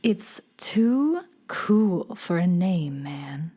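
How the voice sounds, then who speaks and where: Woman as a slow-drawling beatnik cat girl. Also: on the low side, sing-song, dark tone, slightly rough, exaggerated voice. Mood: cool, unbothered. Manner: drawling, hipster slang. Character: laid-back beatnik cat girl from the moon, too cool. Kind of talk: children's radio theater acting.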